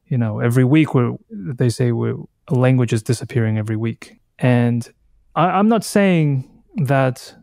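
A man in his thirties from Taiwan, a native Taiwanese speaker, talking reflectively as an interview guest in a halting, thoughtful way, often circling back to rephrase. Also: medium pitch, lively intonation, gravelly, mellow, no room sound, studio quality. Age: 30s. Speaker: podcast guest, artist.

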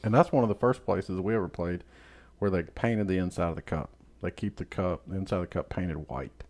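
A man's voice: sits low, fairly quick, darkish tone. A man hosting a casual podcast chat in an easygoing, teasing way. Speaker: podcast host. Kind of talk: casual chat about golf.

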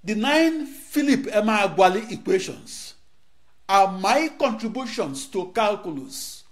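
A middle-aged man from Nigeria, a native Igbo speaker, giving a rehearsed lecture in short declaimed phrases with dramatic pauses, filmed in a studio on a lapel mic. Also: high voice, sing-song.

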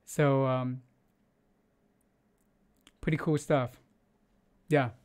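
A man's voice: mid-range voice, level tone, very slow, a little mellow. A man in his forties hosting market news in a casual, loose way, with many fillers and restarts, speaking English as a second language from a home studio on a close mic. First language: not English. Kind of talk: casual screen-share news commentary.

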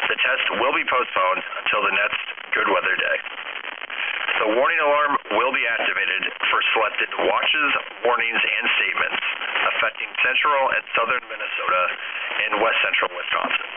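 A man's voice: fairly low pitch, normal speed. A man's voice reading a formal scripted announcement over a radio broadcast. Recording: tinny noisy radio stream.

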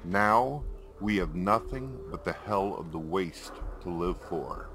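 Man acting a low-voiced, bitter old scientist. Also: expressive, takes it slowly, music in the background, full character voice. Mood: bitter, accusing. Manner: grave, accusatory. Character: bitter vengeful older male scientist confronting a traitor. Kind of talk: video game character dialogue.

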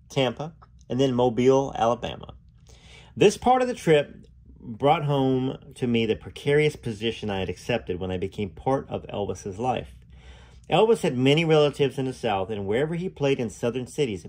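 Guy reading a memoir out loud, real expressive n dramatic, pitch goes up and down, casual asides. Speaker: host reading a memoir aloud. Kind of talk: expressive read-aloud storytelling with casual asides.

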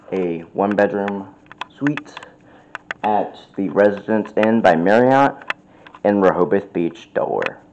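A young man giving a casual, unhurried tour of a hotel suite, filming on a handheld phone, with fillers and restarts. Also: low voice, a little mellow, muffled recording, slight background noise. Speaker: vlogger. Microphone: handheld phone camera.

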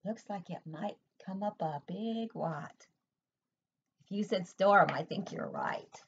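An older woman and preschool teacher reading a picture book aloud at home, pausing to ask the children questions. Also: medium pitch, gravelly, a little hiss or hum. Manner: expressive read-aloud, asks children questions. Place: home room, indoors. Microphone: webcam at desk. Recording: dull lo-fi webcam audio.

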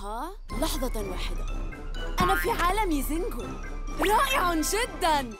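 A woman voicing an excitable, mischievous ten-year-old boy in a cartoon dub, exclamatory and playful. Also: extremely high pitch, moderate pace, loud music behind the voice. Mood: amazed. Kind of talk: cartoon voice acting, Arabic dub.

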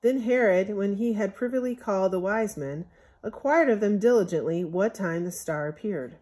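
A woman reading a Bible story aloud from a picture book in measured, scriptural phrasing, as a Sunday school storyteller. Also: mid-range voice, a little mellow.

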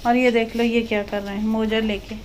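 A woman's voice: a little high, flat delivery, brisk, a little mellow. A woman talking to her pet parrot at home in doting, sing-song baby talk. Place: living room at home, indoors. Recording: home phone video with background noise.

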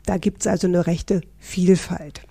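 A woman's voice: fairly low pitch, fairly even intonation, unhurried, vocal fry, warm tone, husky, no room sound. A middle-aged woman from northern Germany, a professor, explaining things in a friendly interview with some fillers. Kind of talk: interview answers.

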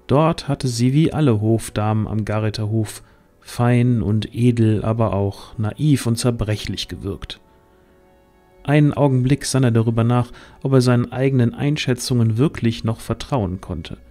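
A man's voice: mid-range voice, warm tone. A man narrating a scripted fantasy audio drama as the storyteller.

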